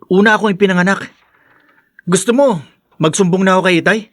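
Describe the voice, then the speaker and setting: A man's voice: high voice, a little fast, dry recording, studio quality, lightly acted. A man playing a protective, reproachful young adult brother with a Filipino accent in a radio drama. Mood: scolding.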